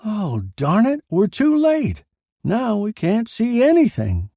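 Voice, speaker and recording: A man voicing excitable, jeering newspaper reporters in a dramatised story, eager and gleeful. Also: sits high, slow pace, creaky, dry recording, clean studio sound, pitched above the performer's own voice, exaggerated voice. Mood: excited. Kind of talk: dramatised short story reading.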